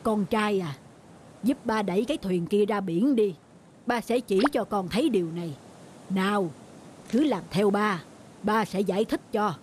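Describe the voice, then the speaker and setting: A woman voicing a calm, wise middle-aged fisherman father in a cartoon folk tale, speaking patiently and instructively. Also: medium pitch, moderate pace, a little hiss or hum, lightly acted.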